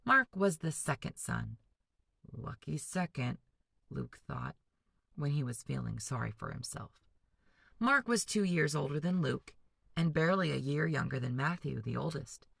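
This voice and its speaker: Low, gravelly-voiced woman teacher reading aloud. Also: expressive, normal speed, fairly bright tone, no echo, clean studio sound. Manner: patient, explanatory, addresses students directly. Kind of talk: classroom read-aloud with discussion breaks.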